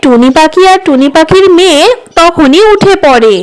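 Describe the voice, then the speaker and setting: A woman's voice: high voice, lively intonation, normal speed. A woman narrating a scripted children's cartoon story.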